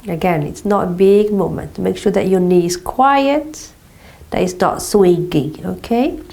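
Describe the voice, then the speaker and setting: Female movement teacher, stuffy nose from a cold, slow mellow delivery. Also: fairly low pitch, creaky. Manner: slow, calm step-by-step guidance with frequent "okay". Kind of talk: guided exercise instruction.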